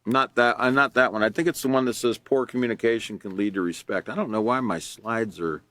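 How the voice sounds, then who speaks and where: A man teaching a character education class, reading from a workbook and explaining it in a loud, earnest way with many fillers and restarts. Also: medium pitch, a little fast. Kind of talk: reading and explaining a lesson live.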